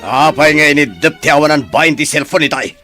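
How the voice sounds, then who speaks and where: An actor playing a stern, quick-tempered wealthy father in an audio drama, blunt and scolding. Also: a little high, sharp tone, faint music behind. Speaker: father of the young husband. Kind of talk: Ilocano audio drama acting.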